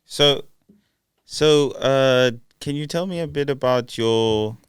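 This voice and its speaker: A man's voice: medium pitch, slow pace, clean tone, dry recording, clean studio sound. A young man hosting a casual podcast interview, hesitant with many fillers and restarts. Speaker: podcast host.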